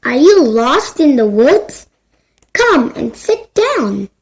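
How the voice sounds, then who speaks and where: A friendly storybook outlaw of the woods, read by a woman. The voice is high and animated, welcoming in temper. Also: slow pace, full character voice. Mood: curious, welcoming. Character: friendly outlaw man, leader in the wood. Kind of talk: children's storybook character reading.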